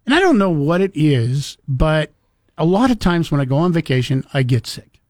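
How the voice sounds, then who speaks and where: Chatty man with a slightly high voice. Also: big pitch swings, normal speed, no echo, clean studio sound. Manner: chatty, storytelling, laughs often. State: recovering from lost voice. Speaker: radio show co-host. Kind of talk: casual morning radio chat.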